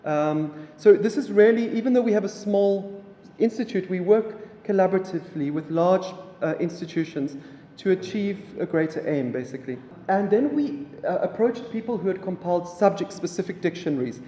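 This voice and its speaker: A man from South Africa, an Afrikaans speaker, giving a relaxed public lecture with halting phrasing and many fillers. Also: high voice, a little hiss or hum. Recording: echoey hall, mic from afar.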